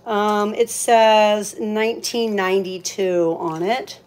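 A woman's voice: medium pitch, fairly even intonation, slow, darkish tone. A woman hosting a live auction in casual, chatty talk, thinking aloud as she handles items. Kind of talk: casual livestream sales chat.

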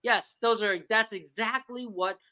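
A woman hosting a live video-call game show, bubbly and emphatic, her voice a bit hoarse. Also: on the high side, steady tone, unhurried, bright, forceful tone. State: hoarse from lost voice.

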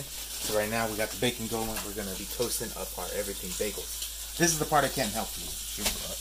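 A man's voice: lowish voice, background noise. A young man chatting casually as he cooks breakfast in his home kitchen, upbeat and joking at his own mistakes. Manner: upbeat, joking, self-deprecating. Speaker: home cooking vlogger. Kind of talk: casual cooking chat. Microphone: camera mic, handheld and tripod. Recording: home kitchen with sizzling in the background. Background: sizzling pan and kitchen sounds.

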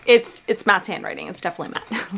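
A woman in her thirties chatting casually to a handheld camera at home, bubbly and quick to laugh. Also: mid-range voice, big pitch swings, vocal fry, noisy background. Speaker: vlogger, new mom. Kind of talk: casual vlog chat. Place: large bright home kitchen and bedroom. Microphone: camera held at arm's length.

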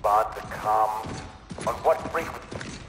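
A man's voice: on the low side, takes it slowly, a bit bright, big-room sound, slight background noise. An actor playing a curt, exasperated male military commander in a video game, terse and demanding.

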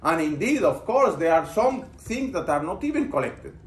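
A male lecturer with a rather high voice teaches a class, asking his students questions and then picking up their replies. The sound carries the roomy echo of a lecture room. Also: sing-song, normal speed. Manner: poses questions to the class and builds on answers. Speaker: university lecturer.

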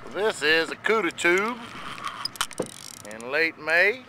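Man, high voice, slow delivery, playful fishing host, casual, loud boat noise. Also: expressive. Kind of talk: casual excited commentary while fishing. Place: outdoors on a small boat at sea. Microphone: handheld camera in open air.

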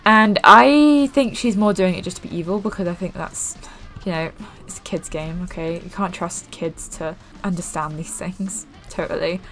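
A young woman hosting a gaming channel, chatting casually while she plays, rambling with many fillers in what she calls a monotone way. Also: lowish voice, normal speed, low-quality recording. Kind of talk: casual gameplay commentary. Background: faint game music.

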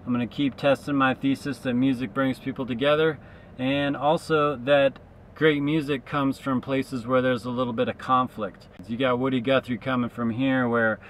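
A man's voice: mid-range voice, level tone, moderate pace, fairly bright tone, slight background noise, poor audio. A man in his thirties, a traveling street musician, thinking aloud in a loose, reflective vlog monologue from inside his car to a dashboard camera. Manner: reflective, musing, loose with fillers.

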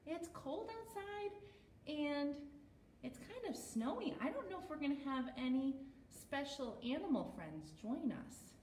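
A young American woman speaking as a storytime host for toddlers, in an animated, sing-song, exaggerated child-directed way. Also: high-pitched, measured pace. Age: young adult. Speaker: children's librarian hosting storytime. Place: small bare room indoors at home. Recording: roomy, distant mic, background noise.

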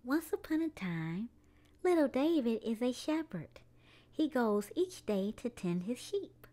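A woman's voice: a little high, big pitch swings, a little slow, mellow. A woman hosting a children's storytime, reading a picture book aloud expressively to young children, with music behind her.

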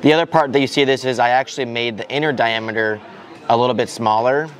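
A man's voice: mid-range voice, steady tone, quick pace. A young man casually demonstrating a hands-on task, with lots of fillers and restarts as he explains step by step. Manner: loose, filler-heavy explaining with many restarts. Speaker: RC racer demonstrating tire prep. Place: large indoor hall with people at tables. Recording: voice over crowd chatter in a big hall. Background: crowd chatter in the hall.

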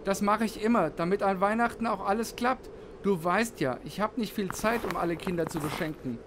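Guy playing old santa in a kids story, worried but kind, high voice, noisy background. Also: fairly quick. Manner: sighing, lamenting, kindly. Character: worried, kindly old male Santa Claus. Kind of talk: children's audio play.

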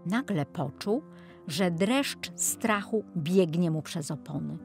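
Slow-paced woman telling children's stories. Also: on the low side, animated, creaky, darkish tone, faint music behind. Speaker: storyteller. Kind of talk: expressive children's audiobook narration.

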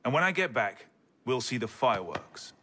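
Bright-voiced, reassuring father. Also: medium pitch, very animated, normal speed, slight background noise. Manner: gentle, firm. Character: kindly, calm father reassuring his child. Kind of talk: video game cutscene acting.

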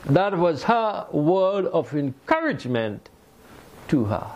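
Slow, gravelly older male preacher. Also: on the high side, very animated, a little mellow, slight background noise. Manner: slow, deliberate preaching with pauses. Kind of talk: sermon. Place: small indoor room by a fireplace mantel.

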